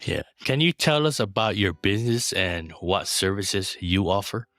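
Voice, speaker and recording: Deep-voiced podcast host. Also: male voice, normal speed, no room sound, studio quality. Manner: steady, reads prepared lines. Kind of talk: scripted intro then interview questions.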